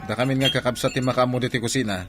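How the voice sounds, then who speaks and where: A man acts a protective Ilocano elder brother in a radio drama, with music behind him. His voice is mid-pitched, practical and gently admonishing. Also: steady tone, racing, natural voice. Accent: Filipino, Ilocano.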